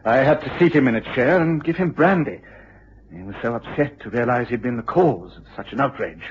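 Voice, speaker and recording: An actor playing an agitated, excitable university lecturer with an English accent and a husky voice in a period radio drama, speaking formally and precisely. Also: male voice, medium pitch, brisk, a little hiss or hum, lightly acted. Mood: anxious, earnest. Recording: dull, lo-fi old radio recording.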